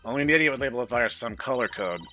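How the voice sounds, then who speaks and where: A man's voice: mid-range voice, lively intonation, very fast, pushed and bright, faint music behind. Man voicing an arrogant, menacing virus villain. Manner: scornful, menacing. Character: arrogant, destructive armored male computer virus villain.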